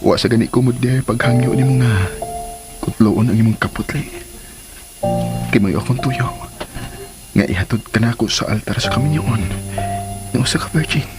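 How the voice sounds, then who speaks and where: A male actor plays a devoted sweetheart in a radio serial. His voice sits at a medium pitch, tender and teasing, with music playing softly behind him. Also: moderate pace, long pauses, natural voice. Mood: tender, coaxing. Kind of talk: radio drama acting.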